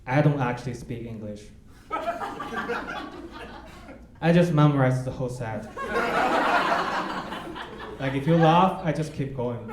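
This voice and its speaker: A young man from China with a Chinese accent doing a self-mocking stand-up set on a comedy club stage. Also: mid-range voice. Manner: deadpan, self-mocking, with pauses for laughs. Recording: echoey club with loud crowd noise. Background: audience laughter.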